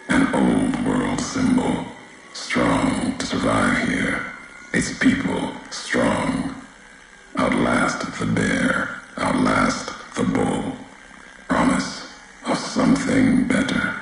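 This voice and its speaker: Grim vengeful wasteland courier, video game character, extremely low-pitched, slow and solemn, gravelly, world-weary, cryptic. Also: male voice, very echoey, a little hiss or hum, full character voice, poor audio. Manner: solemn, deliberate, cryptic, clipped phrasing.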